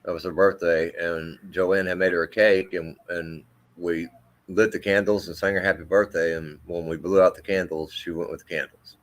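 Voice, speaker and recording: A man with a deep, low voice that carries, an army veteran in middle age. He tells his story haltingly, circling back with fillers and restarts. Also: level tone. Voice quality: deep, carrying voice. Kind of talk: emotional storytelling in interview.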